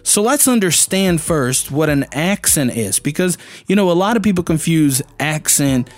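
A Brazilian man whose first language is Portuguese, teaching English in a relaxed, chatty way, often saying "you know" and "man". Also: a little high, normal speed, soft background music. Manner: chatty, fills with "you know" and "man", acts out learners' voices. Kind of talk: casual video lesson.

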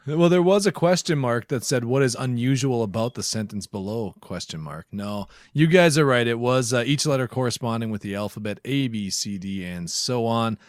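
A man's voice: mid-range voice, lively intonation, moderate pace, few pauses, dry recording. Casual male podcast host, dry close sound.

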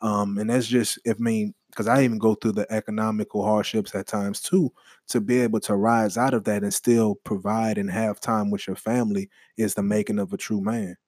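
Young male podcast co-host, casual and profane, lively talk on a dead-dry close mic. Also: on the low side, normal speed, dry recording. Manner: animated, swearing freely, restarts and rambles. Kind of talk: casual chat.

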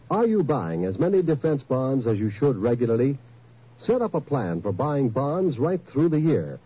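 A male announcer reads a persuasive radio ad in an earnest tone. His voice is very husky, and his pitch moves a lot. The recording is a dull, lo-fi old broadcast. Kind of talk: scripted ad read.

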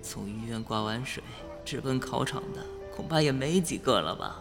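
A man's voice: mid-range voice, moderate pace, music in the background, close to an everyday voice. A young man acting a sullen, bitter, easily exasperated teenage boy in a Chinese audio drama. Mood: resentful.

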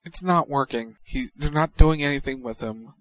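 Male podcast co-host, mid pitch, dry close mic, blunt and opinionated. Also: normal speed, a bit bright, dry recording, rough recording. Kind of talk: casual wrestling chat.

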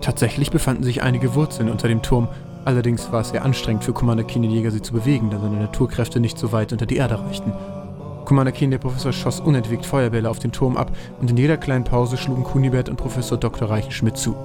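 A man narrates an audio drama at a quick pace, his pitch in an average male range. Clear music plays behind his scripted storytelling. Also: fairly even intonation.

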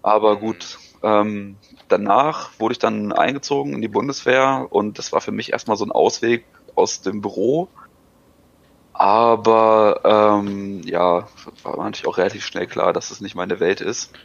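A man in his thirties speaks with a low, gravelly voice, pausing and filling gaps as he answers questions. The recording sounds dull and lo-fi, completely dry with no room around it. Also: fairly even intonation, no room sound. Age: 30s. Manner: halting, many filler sounds. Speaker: podcast guest. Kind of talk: casual interview answers.

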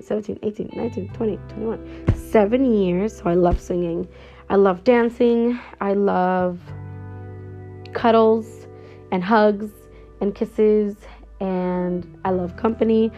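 A woman in her twenties talking casually and cheerfully on her own podcast, rambling with fillers. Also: medium pitch. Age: 20s. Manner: chatty and upbeat, rambling with fillers. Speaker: podcast host. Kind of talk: casual solo podcast intro. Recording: slightly dull lo-fi sound with faint guitar music.

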